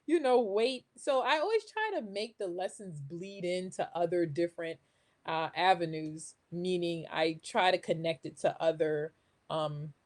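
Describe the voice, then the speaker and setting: A woman with a slightly low-set voice talks in a casual, conversational way. She explains like an educator, working through her thoughts by asking herself questions. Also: measured pace, darkish tone. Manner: talks through ideas with self-posed questions. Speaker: guest, children's craft maker and educator.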